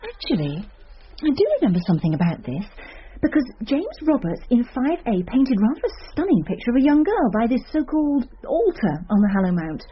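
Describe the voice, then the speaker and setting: A woman's voice: fairly high pitch, sing-song, a little fast, lo-fi sound, slight background noise. An actress playing a shrewd, teasing woman art teacher in a radio drama, with a British accent and a wry, playful manner. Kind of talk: naturalistic radio drama acting.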